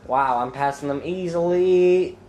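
A young man with a stuffy nose and a clogged throat, commenting casually on his own racing game and thinking aloud with ums and sudden loud exclamations. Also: on the high side, measured pace, lo-fi sound, faint music behind. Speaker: gameplay commentator. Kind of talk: casual let's-play commentary.

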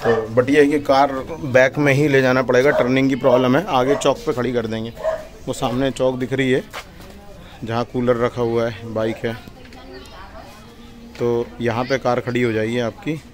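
Man pitching a house in a flat voice. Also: normal speed. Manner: plain conversational sales pitch with hesitation fillers. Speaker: property dealer. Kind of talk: real estate listing vlog. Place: indoor office with decor shelves, then outdoors walking through a lane.

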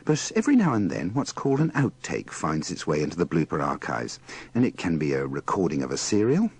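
A man's voice: rumbling low, huge pitch swings, brisk, darkish tone, breathy edge, dry recording. A man narrating scripted links in a wry style. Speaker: narrator of a radio bloopers compilation.

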